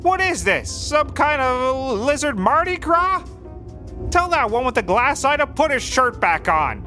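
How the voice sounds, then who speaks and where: A man's voice: extremely high pitch, very animated, a little slow, pushed and bright, soft background music, full character voice. A man playing a deluded, addled slacker detective in a comedy audio drama, rambling and stammering erratically. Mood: indignant.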